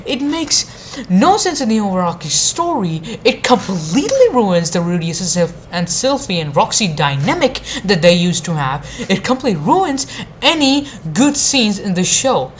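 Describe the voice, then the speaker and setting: A woman delivers an exasperated, opinionated rant in the style of a video reviewer. Her pitch swings in a very lively way as she stresses her points and swears freely. Some noise sits behind her voice. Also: moderate pace. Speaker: anime review video creator. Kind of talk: opinionated ranting commentary.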